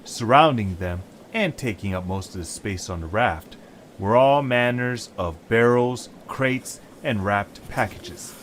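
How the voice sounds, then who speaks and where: A man's voice: lowish voice, animated, a little slow, a bit bright, slight background noise. A man reading scripted narration for an audio story. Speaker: narrator and lead voice actor.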